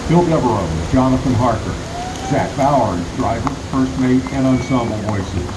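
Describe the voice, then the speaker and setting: Male radio-play announcer, formal scripted read, medium pitch, roomy stage sound. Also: expressive, moderate pace, background noise. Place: live community theatre stage. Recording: roomy stage recording with music behind. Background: loud music.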